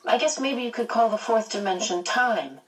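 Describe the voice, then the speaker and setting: Woman doing a higher, unsure teen girl voice, hesitant, far-off mic. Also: animated, moderate pace, lightly acted. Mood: earnest. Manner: earnest, hesitant. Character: anxious, blunt teenage girl.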